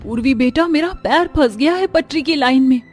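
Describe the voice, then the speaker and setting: A frightened village mother played by a woman narrator in a cartoon horror tale. Her voice is high and urgent as she pleads protectively. Music plays behind. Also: normal speed, a little mellow, lightly acted. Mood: anxious.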